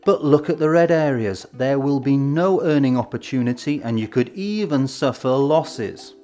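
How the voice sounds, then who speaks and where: Guy doing a scripted tutorial voiceover, medium pitch, measured n clear points, music behind him. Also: mellow. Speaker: presenter of an educational film. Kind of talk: scripted instructional narration. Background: background music.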